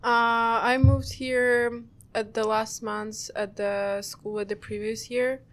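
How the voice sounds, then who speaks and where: A woman's voice: a little high, little pitch movement, slow. Ukrainian-accented teen girl, simple phrasing. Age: teens. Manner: simple, non-native phrasing. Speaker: exchange student guest. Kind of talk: casual interview.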